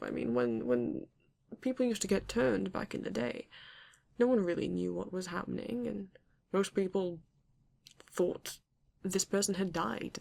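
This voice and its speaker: A woman playing a courteous, bashful vampire in an intimate ASMR roleplay, speaking haltingly and formally with a gravelly, creaky voice. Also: mid-range voice, a little mellow. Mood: defensive, explaining. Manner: hesitant, halting, formal yet endearing. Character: courteous, bashful vampire living alone in a castle.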